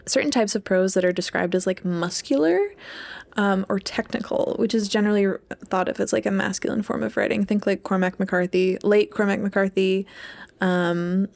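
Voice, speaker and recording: A young adult woman talks casually as a podcast co-host, with noticeable vocal fry. Her pitch sits in an ordinary female range, and she phrases things informally, hedging as she goes. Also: fairly even intonation, moderate pace, darkish tone. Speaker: podcast co-host and PhD student.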